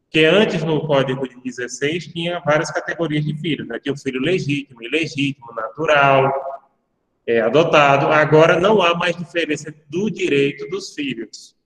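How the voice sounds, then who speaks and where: A man's voice: fairly high pitch, moderate pace, fairly bright tone. A male professor with a Brazilian accent lecturing his class, explaining at length and often checking in with tag questions. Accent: Brazilian Portuguese. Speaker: university law professor. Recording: echoey hall-like sound, distant from mic.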